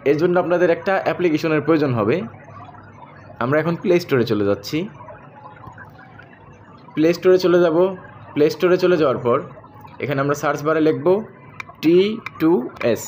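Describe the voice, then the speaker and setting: A man with a Bangladeshi accent and a slightly high voice talks quickly through an app tutorial. He addresses viewers directly and goes over each step again as he moves along. There is faint noise behind him. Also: darkish tone. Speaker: tech tutorial host.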